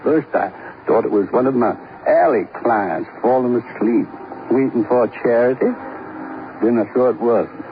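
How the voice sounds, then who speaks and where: An actor playing a gruff, talkative grill owner with folksy, rambling talk in a 1950s radio drama. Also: male voice, mid-range voice, normal speed, natural voice. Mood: wry, folksy. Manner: rambling, folksy, colloquial drawling phrasing. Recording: muffled, noisy 1950s radio recording.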